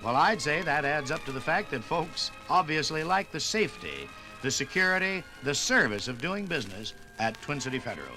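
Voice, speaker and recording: Male American 1950s radio host, fairly high and very animated, folksy sponsor-spot delivery. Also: brisk, music in the background. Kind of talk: 1950s radio announcing, conversational sponsor pitch.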